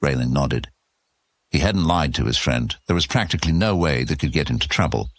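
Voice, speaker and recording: A man's voice: very deep voice, animated, a little fast, no echo. A man narrating a scripted fantasy story as the narrator of an audio serial.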